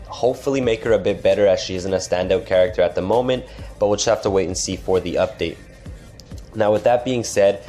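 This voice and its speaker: A man's voice: lowish voice, a little mellow. A man hosting a gaming channel, talking through game news in a casual, chatty way, his voice stuffed up from a cold. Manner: chatty, lots of restarts and filler phrases. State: congested from a cold.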